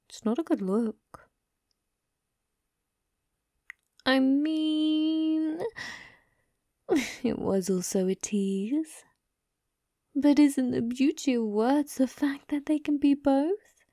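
A woman voicing a bratty, sadistic yandere young woman in an ASMR roleplay, taunting and breathy, often slipping into whispers. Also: a little high, dark tone, no echo, clean studio sound, exaggerated voice. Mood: smug teasing.

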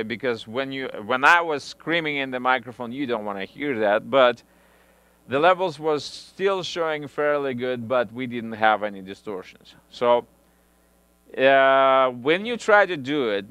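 Man with a smooth, dry voice. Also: medium pitch, a bit bright, no room sound. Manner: halting, non-native phrasing with restarts. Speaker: audio equipment reviewer. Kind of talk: hands-on equipment demonstration. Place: small home studio, indoors. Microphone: headset mic.

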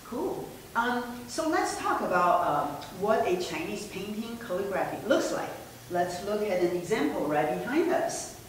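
A woman presents indoors, friendly and gesturing, pausing on fillers as she explains. The mic sits far off, so her voice rings in a very echoey room. A faint hiss stays under it. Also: medium pitch, very animated. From Taiwan. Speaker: museum director presenting. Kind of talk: casual gallery presentation. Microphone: far camera mic.

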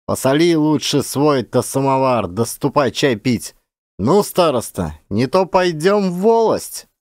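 Guy doing gruff drunk peasant man, muttering n mocking, lively swings, audio play. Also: mid-range voice, a little slow, no room sound, clean studio sound. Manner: muttering, grumbling.

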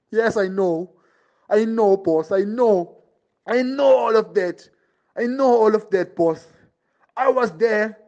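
Grovelling henchman played by a man, high voice, deferential, repeats himself, audio drama. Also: a little mellow, dull audio, exaggerated voice. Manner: pleading, repetitive, deferential. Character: servile, desperate henchman grovelling to his boss. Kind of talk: amateur audio drama acting.

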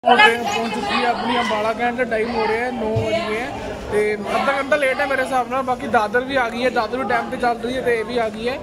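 A man's voice: very high voice, flat delivery, fast talker. A man chatting easily through a train journey vlog, recorded on a handheld phone. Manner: chatty, easygoing running commentary. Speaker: train travel vlogger. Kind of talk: casual travel vlog narration. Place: on a train and station platforms. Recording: crowded station noise. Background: busy station crowd and trains.

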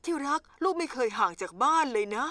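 Bright, high-voiced cartoon mom. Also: female voice, expressive, quick pace, dry recording. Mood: concerned. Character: caring mother of a big cartoon family. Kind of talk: animated cartoon dub.

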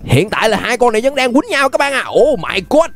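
A young man with a southern Vietnamese accent giving excited, exclamatory gameplay commentary, often repeating phrases as he reacts. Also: very high voice, fairly quick. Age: young adult. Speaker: gaming YouTuber, host. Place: indoors at a gaming setup. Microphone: desk microphone close to the face.

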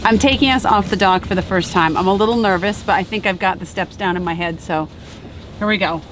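A woman in her forties narrating and chatting as a vlogger while learning to handle her boat in a marina, upbeat and quick to laugh. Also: medium pitch, soft background music, low-quality recording. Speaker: vlogger, mother learning to sail. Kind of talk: casual vlog narration and chat. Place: on a catamaran in a marina, outdoors.

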